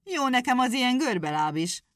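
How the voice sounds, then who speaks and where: A woman's voice: fairly low pitch, expressive, dry recording, clean studio sound. A woman doing a sly, touchy little hedgehog. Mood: stubborn. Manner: homely, folksy, stubborn. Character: small crooked-legged male hedgehog, sly and touchy.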